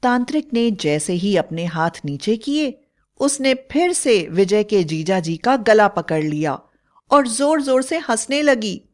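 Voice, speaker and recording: Expressive, slow-paced woman storyteller. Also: mid-range voice. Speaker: storyteller narrator. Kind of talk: dramatic scripted bedtime horror story narration.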